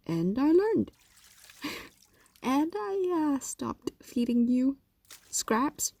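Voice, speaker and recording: Casting: woman to play ancient yandere fox demoness, soft mellow voice, coaxing and doting yet predatory, intimate audio drama, very dry close sound. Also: medium pitch, no room sound, heavily acted. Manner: coaxing, hesitant explanations with whispers and sighs. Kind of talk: intimate audio roleplay acting, addressed to a lover.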